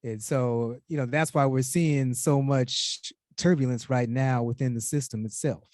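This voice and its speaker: A man with a mid-pitched, mellow voice hosts a casual music interview. He talks in a measured way with hesitant pauses, recorded close and dry. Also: fairly even intonation, no room sound. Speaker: music show host.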